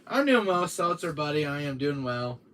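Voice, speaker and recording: A man with a deep voice hosting a casual gaming live stream, rambling with many fillers while talking to his chat, tired from a cold. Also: big pitch swings, normal speed, bright, forceful tone. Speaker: gaming channel host.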